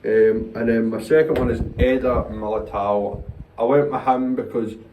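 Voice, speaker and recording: A man's voice: medium pitch, fairly even intonation, moderate pace, distant mic, phone-quality sound, slight background noise. A young Scottish man chatting casually about football, opinionated and rambling, swearing now and then. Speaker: co-host. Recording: roomy echo, slightly off-mic, faint ambience.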